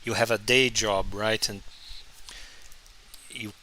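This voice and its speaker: A man whose first language is Portuguese, chatting casually on a live stream while he draws, halting and restarting often. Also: fairly low pitch, steady tone, sharp tone, slight background noise. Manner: halting, many restarts and fillers. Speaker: comic artist streaming his drawing.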